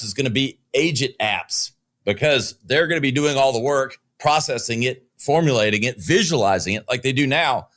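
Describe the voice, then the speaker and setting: A man's voice: mid-range voice, a bit bright. A man with a Southern US accent, hosting a business podcast and giving opinionated news commentary with joking asides from a studio. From Southern United States. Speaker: podcast host.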